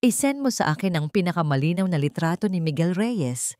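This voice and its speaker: Cold Filipino female tycoon, played by a woman narrator: imperious, calculating, sharp, audio drama, dry sound. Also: lowish voice, animated, brisk, no room sound, natural voice. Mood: commanding. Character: cold, commanding wealthy businesswoman, calculating.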